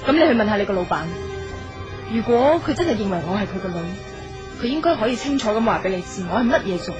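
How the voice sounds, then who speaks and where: A guarded, proud woman in a radio play , acted by an actress. Her voice sits a little high, and music plays behind her. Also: animated, quick pace, lo-fi sound, lightly acted. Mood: cold, defensive. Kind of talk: Cantonese radio drama acting.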